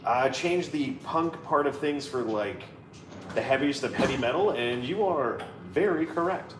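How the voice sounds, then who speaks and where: A man's voice: medium pitch, animated, measured pace, echoey room, distant mic, background noise. A man in his thirties chatting casually and playfully on a live stream, reading viewer comments aloud. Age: 30s. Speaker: co-host reading live chat.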